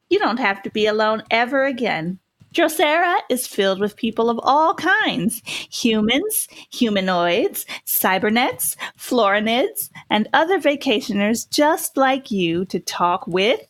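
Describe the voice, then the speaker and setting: A woman's voice: a little high, dry recording. A woman playing a soothing, persuasive announcer, reading a polished parody resort advertisement full of rhetorical flourishes. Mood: inviting, romantic. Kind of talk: scripted parody advertisement narration.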